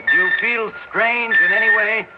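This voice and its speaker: An actor playing a calm military psychiatrist asking measured, clinical questions in an old radio drama. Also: male voice, sits high, loud music behind the voice, lightly acted. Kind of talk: 1960s radio drama acting. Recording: muffled old radio broadcast with hiss.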